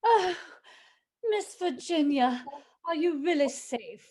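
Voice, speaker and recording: Housekeeper for radio drama, woman acting: high, very animated intonation, deferential and soothing. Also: takes it slowly. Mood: anxious. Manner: deferential, theatrical.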